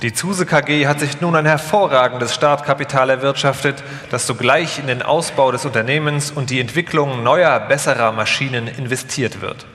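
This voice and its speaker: A man narrates a scripted documentary live in a bright, forceful voice of medium pitch. A long hall reverb follows his words. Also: moderate pace, few pauses, faint music behind.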